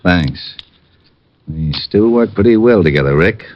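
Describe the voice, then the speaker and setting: A gruff, deep-voiced police lieutenant. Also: male voice, expressive, unhurried, slight background noise. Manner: brusque, businesslike questioning. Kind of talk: 1950s radio drama acting. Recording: dull lo-fi old radio recording.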